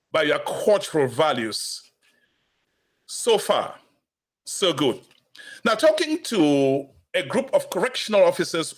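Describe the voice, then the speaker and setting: A man with a slightly raised pitch hosts a studio talk broadcast. He speaks in a measured, formal announcing manner. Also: fairly bright tone. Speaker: host.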